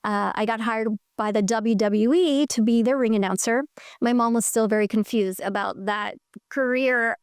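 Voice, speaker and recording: A middle-aged woman from Colombia, telling her career story in an animated, enthusiastic way as an interview guest. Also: a little high, moderate pace, clear, resonant tone, no echo, clean studio sound.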